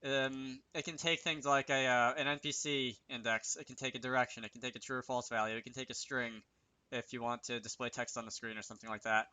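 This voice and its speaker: Bright-voiced man thinking aloud through a tutorial. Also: mid-range voice, steady tone, no echo. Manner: thinks aloud, hesitant and halting. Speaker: game developer presenting a tutorial series. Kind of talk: unscripted screen-recorded programming tutorial.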